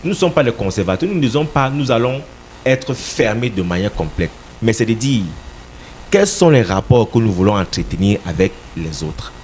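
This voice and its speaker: Low-voiced man, emphatic and insistent. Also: sing-song, moderate pace, a little mellow, a little hiss or hum. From Cameroon. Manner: emphatic, insistent, repeats words while searching. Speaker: political party representative. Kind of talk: radio interview answers.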